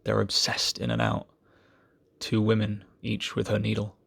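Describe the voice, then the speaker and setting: A man recites poetry solemnly in a low voice full of heavy creak. His phrasing is measured and deliberate, and the tone is husky, as an amateur reader would give it. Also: sing-song, fairly bright tone.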